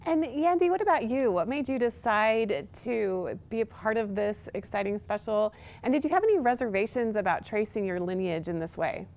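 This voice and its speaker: A woman interviewing guests on television, asking measured, upbeat questions. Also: mid-range voice, animated, darkish tone.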